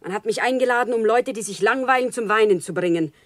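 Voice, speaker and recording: A woman's voice: fairly high pitch, quick pace, no room sound, close to an everyday voice. An actress playing an impassioned, naive commoner woman who pleads solemnly and fervently in a German radio play. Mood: bitter, weary. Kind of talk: German radio play, theatrical stage diction.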